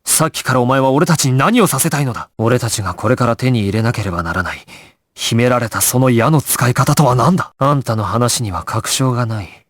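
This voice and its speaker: Male actor as young gangster leader, resolute, authoritative clipped delivery, mid-pitched, widely swinging intonation, dramatic anime game style. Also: lively intonation, brisk, dry recording, clean studio sound, close to an everyday voice. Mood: cold, confrontational. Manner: terse, authoritative, giving clipped orders.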